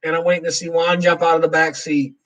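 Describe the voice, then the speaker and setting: A man's voice: a little high, level tone, brisk, fairly bright tone, far from the mic, poor audio. A man hosting a casual live Q&A, rambling through his answers and reading viewer comments aloud. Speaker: livestream host.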